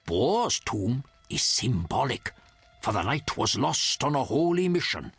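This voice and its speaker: An actor playing a solemn, reverent hermit in a fantasy video game, with formal, measured phrasing and a husky voice. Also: male voice, mid-range voice, very animated, fairly bright tone, faint music behind, exaggerated voice. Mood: solemn storytelling.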